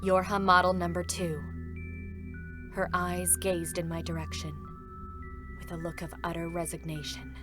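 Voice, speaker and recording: A woman voicing a reserved, stoic female combat android, narrating in a calm, measured way at a live concert reading. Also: lowish voice, natural voice. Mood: restrained. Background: faint music.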